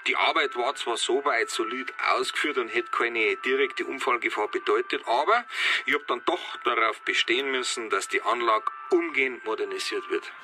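An actor playing an earnest, defensive farm safety inspector with a Bavarian accent, explaining insistently in a radio drama. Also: male voice, mid-range voice, moderate pace, background noise, natural voice. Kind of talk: naturalistic radio drama dialogue.

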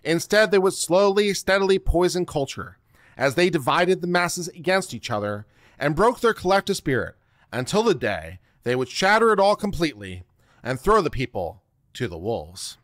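Middle aged guy doing a dramatic reading, really swoopy pitch, close dry mic. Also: sing-song, normal speed, fairly bright tone, no room sound. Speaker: online show host. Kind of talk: dramatic reading of a written text. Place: small home studio room. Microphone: close microphone on boom arm.

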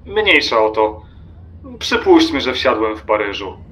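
An actor playing a brooding, erratic middle-aged train passenger in a radio play, absent and cryptic in manner. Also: male voice, medium pitch, very animated, a little slow, a bit bright. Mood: absent, indifferent. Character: brooding, erratic forty-something man, a restless train passenger.

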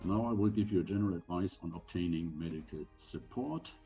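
An older man, around seventy, giving an instructional slide presentation in measured, deliberate short phrases, recorded indoors on a computer microphone. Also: deep voice, warm tone, muffled recording, soft background music. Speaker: presenter giving medical advice for sailors.

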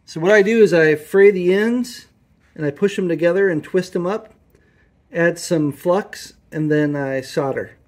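Guy in his garage walking thru motorcycle repairs, kinda high voice, plain talk w lots of ums. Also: measured pace, darkish tone. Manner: plain, matter-of-fact, with frequent fillers and self-corrections. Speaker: motorcycle restorer filming his build. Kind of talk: hands-on workshop explanation. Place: home garage workshop.

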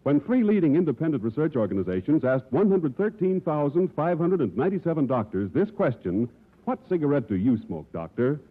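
Animated male announcer on tinny old radio. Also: on the high side, brisk. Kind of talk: 1940s advertising announcement. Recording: tinny, band-limited 1940s radio recording.

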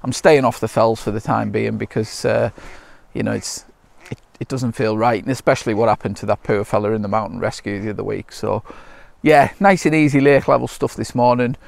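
A middle-aged British man chatting casually to camera outdoors in a relaxed, unscripted, slightly hesitant way. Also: lowish voice, fairly quick, gravelly, dry recording. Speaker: landscape photography vlogger. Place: outdoors by a lake shore.

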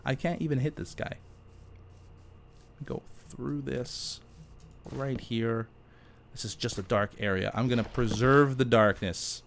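A man with a mid-pitched voice hosts a gaming let's play in a loose, conversational style. He is informal and jokes at his own expense. Faint game ambience sits behind his voice. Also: a little slow, gravelly, pauses often, slight background noise. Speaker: gaming YouTuber host. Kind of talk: casual chatty let's play commentary.

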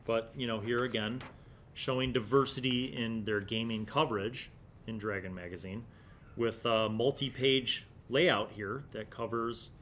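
Casual American male host, 40s, medium pitch, relaxed nostalgic review style, audible background noise. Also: unhurried. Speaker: host of a retro gaming review series. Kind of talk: casual commentary while leafing through an old magazine. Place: small indoor studio room. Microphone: large desk condenser microphone. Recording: clear low background rumble and noise.

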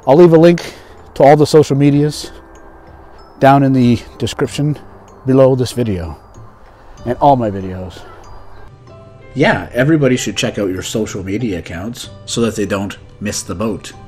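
A man's voice: medium pitch, normal speed, long pauses, mellow, faint music behind. A man in his thirties hosting an English lesson, acting out conversational skit lines and explaining idioms as a teacher. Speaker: English teacher and video host.

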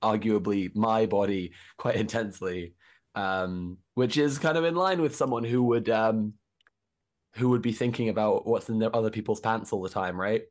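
A young woman with a hoarse voice, chatting as a guest on a video call in a wry, joking way. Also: extremely low pitch, normal speed, a little mellow. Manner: wry, laughs at her own jokes. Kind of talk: casual chat on a video call.

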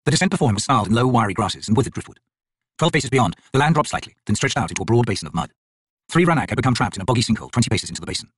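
Expressive male audiobook narrator, quick and lively. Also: mid-range voice, husky, no room sound. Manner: theatrical, expressive, solemn. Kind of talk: dramatic scripted fantasy audiobook narration.

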